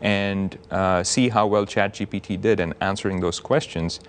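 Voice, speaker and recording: A man in his thirties, a cardiologist guest on a TV news studio set, explaining things in a measured, clear way on a lapel mic. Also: sits low, steady tone. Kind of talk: TV studio interview.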